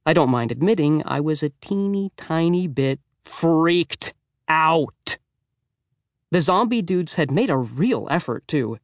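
A man with a fairly high voice narrates a children's story in a very dry recording space. His delivery is expressive, youthful and conversational, with a wry first-person tone. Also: takes it slowly, no room sound. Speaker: audiobook narrator.

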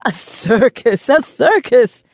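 A woman's voice: medium pitch, very animated, slow pace, mellow, no room sound, clean studio sound, full character voice. A woman voicing a chubby, jovial man from space in an audiobook, emphatic and eager to agree. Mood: gleeful.

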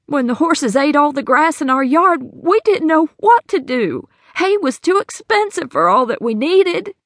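Brief: a woman doing a frantic, cheated housewife in a storybook, high and trembling, voice leaping all over. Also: very animated, measured pace, fairly bright tone, dry recording, clean studio sound, full character voice. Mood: worried. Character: distraught middle-aged woman cheated of her savings.

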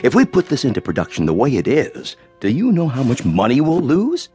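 A stern male company boss in a radio play. He is curt, impatient and used to giving orders, with a lively, moving pitch. There is faint music behind him. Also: fairly quick. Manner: curt, authoritative. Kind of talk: radio drama acting.

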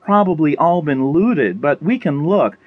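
A man's voice: a little high, animated, unhurried, no echo, phone-quality sound, voice pushed up. A man voicing a practical woman survivor in an audiobook, blunt, bossy and matter-of-fact. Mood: dismissive.

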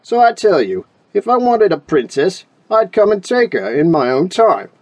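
A woman voicing a grumpy, stubborn male dragon with a growling, rumbling voice. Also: big pitch swings, moderate pace, darkish tone. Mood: grumbling. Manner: gruff and straight-talking.

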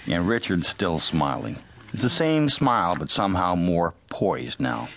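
A man's voice: low-pitched, very animated, unhurried, fairly bright tone, no echo, exaggerated voice. An actor playing a calculating, detached young American man, narrating dryly and confidingly in a radio drama. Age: young adult.

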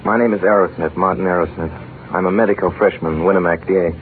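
Man playing an earnest deep-voiced scientist, old radio. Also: steady tone, slight background noise, close to an everyday voice. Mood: eager, pleading. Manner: solemn, measured, earnest. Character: earnest, ambitious male scientist recalling his student years. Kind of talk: 1930s radio drama acting, dramatic first-person narration. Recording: narrow, muffled 1930s radio recording.

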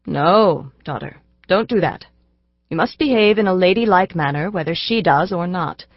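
A woman voicing a grave, gentle father who reproves his daughter in a soft, measured way. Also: lowish voice, animated, dry recording, lo-fi sound. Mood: gently moralizing.

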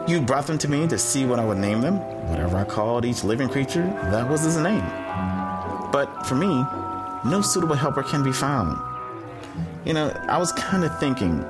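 A goofy, easygoing first man, acted by a man with a mid-pitched voice that swings around in lively fashion. He is hip, excitable and exclamatory, in a comic audio drama over music. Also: natural voice.

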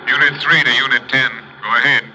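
A man's voice: medium pitch, steady tone, brisk, pushed and bright, a little hiss or hum, poor audio. A man playing a businesslike police captain talking over a car radio in an old radio drama, clipped and official. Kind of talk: 1940s-50s radio drama acting.

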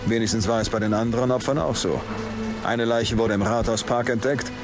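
A man's voice: mid-range voice, fairly even intonation, a little fast, over music, natural voice. A male actor playing a cynical, world-weary middle-aged police detective in a crime audio drama, with a biting, emphatic delivery. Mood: grim, intense.

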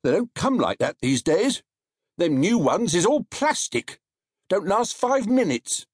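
A man's voice: sits high, sing-song, a little slow, slightly rough, no room sound, studio quality, pitched above the performer's own voice, heavily acted. A man voicing a blunt, opinionated male onlooker at a market. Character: gruff old-fashioned male onlooker.

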